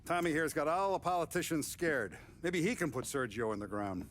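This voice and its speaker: A man's voice: fairly high pitch, lively intonation, brisk, a little hiss or hum, close to an everyday voice. Guy doing a bossy older gangster boss for a crime game, curt n bitter, voice swings around a lot. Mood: bitter, decisive. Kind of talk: cinematic crime game acting.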